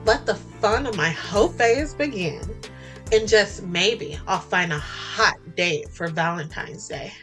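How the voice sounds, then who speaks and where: A woman's voice: medium pitch, takes it slowly, fairly bright tone. Flirty woman storyteller over music. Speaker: storytelling podcast narrator. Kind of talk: expressive first-person romance story narration. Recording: music bed under the voice.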